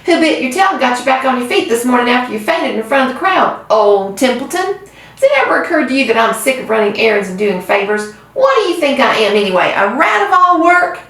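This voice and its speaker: Woman putting on a squeaky sarcastic rat. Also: expressive, quick pace, hardly any pauses, fairly bright tone. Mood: sarcastic grumbling. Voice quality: whiny, mocking. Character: grumbling selfish male rat, sarcastic. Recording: very echoey room, distant mic.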